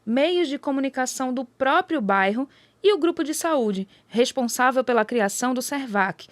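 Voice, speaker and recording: A woman's voice: sits high, lively intonation, normal speed, no echo. A woman with a Brazilian accent reading scripted documentary narration.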